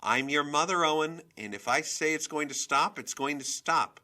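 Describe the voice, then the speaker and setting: A man voicing a volatile, domineering mother in a storybook reading, with a sharp, scolding, emphatic manner. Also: fairly high pitch, moderate pace, voice pushed up. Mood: furious, threatening.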